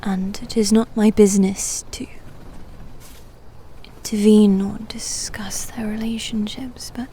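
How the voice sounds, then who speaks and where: A guarded female demon knight, acted by a woman for an ASMR roleplay, speaks in a soft, mellow voice that often drops toward a whisper. The delivery is slow and hesitant, with stiff, formal phrasing and a reserved temper. A faint ambience sits behind the voice. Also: medium pitch, little pitch movement, a little hiss or hum, natural voice, low-quality recording. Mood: reluctant, grave.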